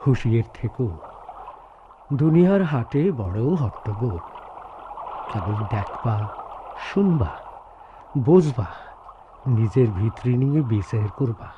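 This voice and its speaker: Rustic spiritual elder, widely swinging voice. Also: male voice, mid-range voice, big pitch swings, slow pace, pauses often. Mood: solemn, fatherly. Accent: rural Bangladeshi Bengali. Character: kindly philosophical elder brother, a rustic spiritual guide. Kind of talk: Bengali radio drama acting. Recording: muffled, noisy radio drama recording.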